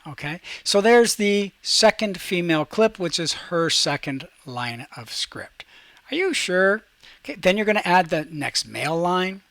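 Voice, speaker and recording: Higher-voiced man teaching a software tutorial. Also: very animated, a little slow, no room sound. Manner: instructional, talks through each step aloud. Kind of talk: screen-recorded software tutorial, step-by-step instruction.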